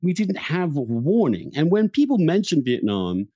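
A man hosting a reflective intellectual conversation, halting and searching for words, often repeating himself mid-phrase. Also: on the high side, very animated, mellow, husky, dry recording, dull audio. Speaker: podcast host.

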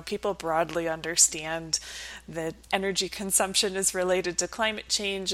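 A woman's voice: fairly low pitch, bright, forceful tone, low-quality recording. Woman explaining as an expert guest. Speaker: researcher and professor, podcast guest.

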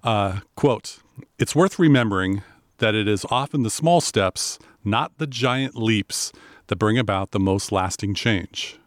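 A man with a baritone voice co-hosting a podcast in casual, rambling chat with fillers and restarts. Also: measured pace, no echo, studio quality. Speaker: podcast co-host.

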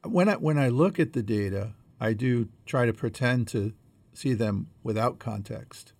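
A man co-hosting a conversational podcast, explaining things in a measured way as he thinks aloud. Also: mid-range voice, a little mellow, no echo. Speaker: podcast co-host.

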